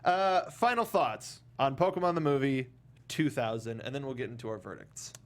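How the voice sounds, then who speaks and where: A man hosting a casual film discussion, talking in a halting way and often restarting or repeating his phrases. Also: mid-range voice. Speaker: talk show host.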